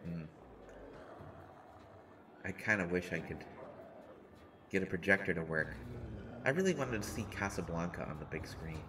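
A man's voice: sits low, lively intonation, normal speed, pauses often, noisy background. A man playing a shy, nervous survivor, speaking with constant stammers and restarts in a rambling, self-deprecating way. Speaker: audio roleplay performer. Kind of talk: scripted audio roleplay monologue.

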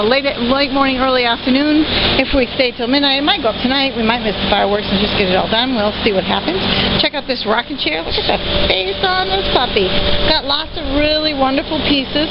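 A woman from an auction house giving a chatty, enthusiastic walkthrough of items for sale, recorded on the camera she carries through a large antique warehouse. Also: sits high. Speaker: auction house staff giving a preview tour. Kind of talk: casual walkthrough narration. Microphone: handheld camera mic, speaker behind camera. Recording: dull lo-fi camera audio with loud air-conditioning hum.

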